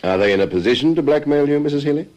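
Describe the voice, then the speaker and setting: An actor playing a methodical, probing police detective, formal and measured, in an old-fashioned radio drama. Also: male voice, on the high side, animated, darkish tone, natural voice.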